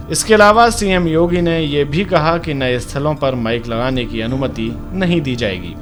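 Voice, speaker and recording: A man reading a scripted news report as a narrator. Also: mid-range voice.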